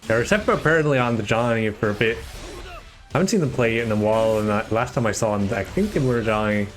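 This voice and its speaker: A man's voice: mid-range voice, fast talker, soft background music. A man from Germany, the tournament organizer, giving casual, rambling live commentary on his own and chatting with viewers. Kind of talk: solo live esports commentary.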